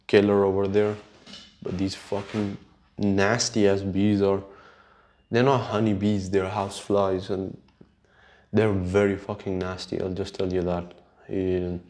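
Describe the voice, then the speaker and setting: Slow, low-voiced man with Urdu accent. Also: darkish tone. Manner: unhurried, halting, sweary. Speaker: podcast host. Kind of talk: casual rambling solo podcast chat.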